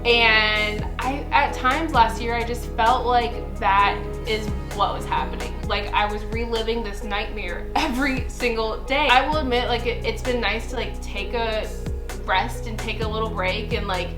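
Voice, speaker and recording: A woman's voice: a little high, fairly even intonation, a little slow. Chatty upbeat woman in her twenties over music. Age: 20s. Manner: chatty and upbeat, laughs often. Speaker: vlogger. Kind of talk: casual personal vlog. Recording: music bed under the voice.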